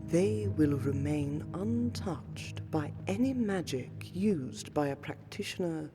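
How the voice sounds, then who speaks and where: A woman playing an aristocratic vampire scholar in a fantasy audio roleplay, speaking formally and deliberately with weighty pauses. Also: low voice, animated. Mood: solemn, formal. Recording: long hall-like reverb under prominent music.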